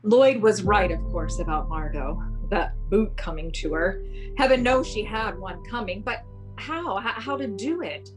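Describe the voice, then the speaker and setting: A wry society wife with a scheming streak played by a woman in a radio drama. Her mid-pitched voice is dry and confiding. Soft music sits behind it. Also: animated, a little slow, natural voice, poor audio. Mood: confiding, musing. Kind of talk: radio play dialogue and confiding narration.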